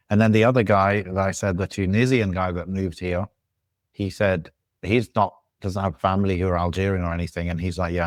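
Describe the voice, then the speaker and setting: A man with a low voice talks casually on a podcast, working through his thoughts in halting, restarting phrases. The sound is dry and close. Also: no room sound. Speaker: podcast guest. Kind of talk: casual chat. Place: living in Algiers.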